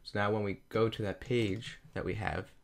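A man talking through a software tutorial over a screen recording, explaining each step plainly. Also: lowish voice, fairly even intonation, moderate pace, gravelly. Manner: plain step-by-step explaining, repeats "you're gonna".

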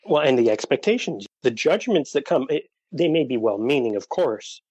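A man's voice: medium pitch, big pitch swings, moderate pace, darkish tone, breathy edge, no room sound. A man who is a podcast guest, speaking earnestly and searching for words in a casual interview. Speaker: podcast guest, dating coach for widowers.

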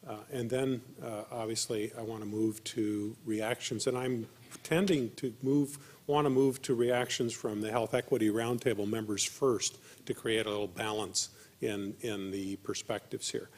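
A man's voice: on the low side, fairly even intonation, normal speed, a little mellow. A man in his sixties moderating a panel from a podium mic in an auditorium, courteous and hesitant with many fillers.

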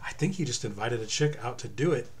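A man's voice: medium pitch, animated, fast talker. A man hosting a comic book review in casual, profanity-laced chat. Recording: clear background noise.

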